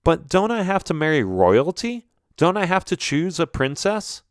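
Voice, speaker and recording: A man's voice: medium pitch, sing-song, normal speed, no echo, clean studio sound, voice pushed up, natural voice. A man voicing an earnest, lovestruck young prince in a storybook, sincere and hesitant. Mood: surprised.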